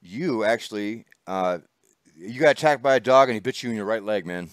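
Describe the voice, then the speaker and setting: A man's voice: lowish voice, animated, a little fast, dry recording, clean studio sound. A man hosting a casual chat show, rambling and laughing as he talks. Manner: chatty, rambling, laughs often. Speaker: host. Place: small studio.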